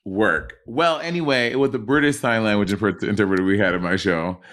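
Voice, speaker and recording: A man's voice: lowish voice, quick pace, a bit bright. A man co-hosting a podcast over a video call, rambling loosely and swearing in casual chat. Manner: loose, profane, rambling, loses his train of thought. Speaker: podcast co-host.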